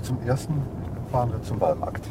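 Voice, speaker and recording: A man in his seventies, a father being interviewed, talking in a halting, reflective, unscripted way. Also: medium pitch, expressive, background noise. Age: 70s. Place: moving car.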